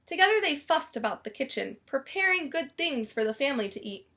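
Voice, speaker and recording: A woman's voice: high voice, very animated, brisk, fairly bright tone. A woman reading a children's story aloud expressively, stretching and stressing words for drama. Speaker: storybook reader.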